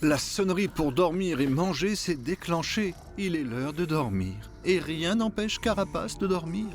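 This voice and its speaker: Un homme qui joue le narrateur expressif d'un conte animé pour enfants. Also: male voice, a little high, lively intonation, normal speed. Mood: dramatic storytelling. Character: expressive storybook narrator of a children's cartoon. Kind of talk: scripted narration. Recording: loud music under narration.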